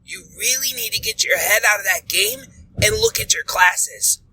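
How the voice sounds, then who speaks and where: A woman's voice: medium pitch, big pitch swings, moderate pace, gravelly, sharp tone, a little hiss or hum, full character voice, rough recording. A woman voicing an exasperated, nagging teenage boy in a fan fiction story, speaking emphatically. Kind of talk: amateur fan fiction character reading.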